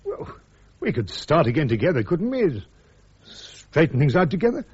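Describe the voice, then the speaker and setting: British radio drama: earnest accused officer and wounded husband, clipped delivery, expressive pitch, lo-fi sound. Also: male voice, normal speed, slightly rough, heavily acted. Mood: pleading, hurt. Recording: dull, lo-fi radio drama recording.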